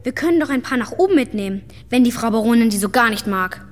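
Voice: mid-range voice, animated, fast talker, natural voice. An actor playing a plucky, eager young boy ghost hunter in a German children's audio drama. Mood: eager, knowing. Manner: eager, explains ghost lore in detail. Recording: reverberant hall, music bed.

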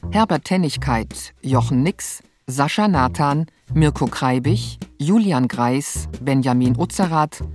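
An announcer formally reading the title and cast credits of a radio play. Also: mid-range voice.

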